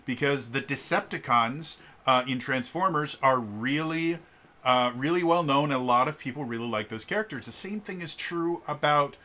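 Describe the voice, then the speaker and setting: A man's voice: normal speed, bright, forceful tone. Stammering man reviewing a game book. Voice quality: deep voice. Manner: stuttering restarts on words, many fillers. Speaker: tabletop RPG reviewer. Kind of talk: casual solo review.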